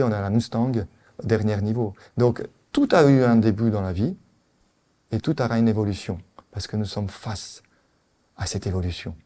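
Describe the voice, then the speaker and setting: A man with an Italian accent lectures at a conference in a warm, mellow voice. He is animated and emphatic, repeating his points and asking the audience rhetorical questions. He sounds middle-aged and sure of his subject. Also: fairly low pitch. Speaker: veterinary anesthesiologist lecturer.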